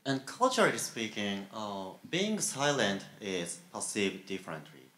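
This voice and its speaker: A young man with a Japanese accent on a graduate student panel, speaking haltingly with many fillers and pauses. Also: fairly low pitch, very animated, pushed and bright. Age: young adult. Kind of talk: panel talk. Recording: echoey room.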